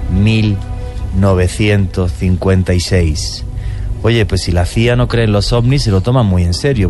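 A man hosting a radio talk show about mysteries, talking with animated enthusiasm. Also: lowish voice. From born outside Colombia.

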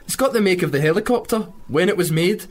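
A woman's voice: lowish voice, lively intonation, fast. A woman playing an earnest, pedantic schoolboy who nitpicks and asks questions in a radio drama. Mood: inquisitive, amazed. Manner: literal, nitpicking, inquisitive. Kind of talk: naturalistic radio drama dialogue.

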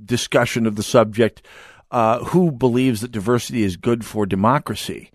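A man's voice: mid-range voice, moderate pace, no echo, clean studio sound. A man hosting a talk radio show, speaking emphatically and wryly, with frequent restarts. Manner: emphatic, wry, restarts often. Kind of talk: talk radio monologue and calls.

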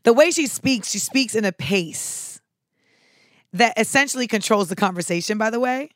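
A woman talking casually to her viewers in a sharp, clipped way, with casual swearing. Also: on the high side, expressive, normal speed, dry recording, clean studio sound. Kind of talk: casual monologue to camera.

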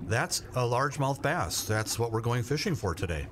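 A man's voice: mid-range voice, lively intonation, normal speed, lightly acted. A man playing an awkward, well-meaning family fisherman in a radio play, hesitant and plainspoken, over noisy ambience. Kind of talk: naturalistic radio play acting. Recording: noisy ambience with vehicle sounds.

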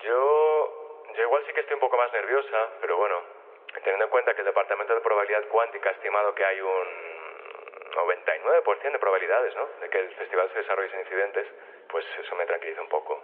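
Man playing anxious body-swapped woman, low phone voice. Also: fast talker, natural voice. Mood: nervous, chatty. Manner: hesitant, conversational. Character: slightly anxious woman living in a man's body. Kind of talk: radio drama. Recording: tinny, narrowband phone line.

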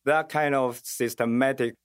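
A man's voice: medium pitch, fairly even intonation, moderate pace, smooth, dry recording, studio quality. A man from Taiwan with a Taiwanese accent, a metal musician answering interview questions in earnest, searching for his words in English.

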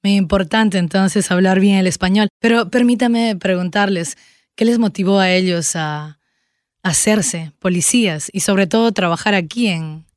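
A woman's voice: mid-range voice, moderate pace, dry recording, clean studio sound. Woman hosting a radio interview. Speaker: radio show host.

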